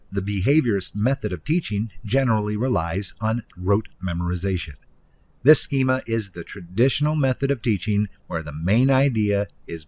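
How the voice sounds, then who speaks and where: A man reading a nonfiction audiobook in an instructive, emphatic way, stressing key phrases deliberately. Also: lowish voice, a little mellow. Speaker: author narrating own audiobook. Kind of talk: scripted nonfiction audiobook narration.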